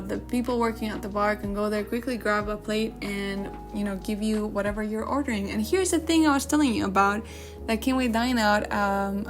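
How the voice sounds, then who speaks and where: A woman whose first language is Spanish, giving casual, chatty gaming commentary in an informal way, sometimes searching for her English words. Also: medium pitch. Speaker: gaming video creator. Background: background music.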